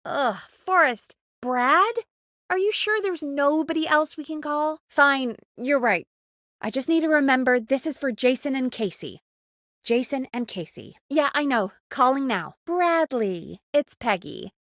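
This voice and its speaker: Earnest kinda anxious young radio producer gal for a game, high voice, really dry sound, talks natural. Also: female voice, animated, a little slow, a bit bright, dry recording. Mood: urgent, exasperated. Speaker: voice actor in a video game.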